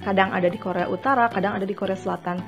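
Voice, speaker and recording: Woman, casual reviewer, mid pitch, conversational filler-heavy delivery, faint music underneath. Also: fairly even intonation, very fast. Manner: chatty, many fillers and restarts. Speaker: drama reviewer.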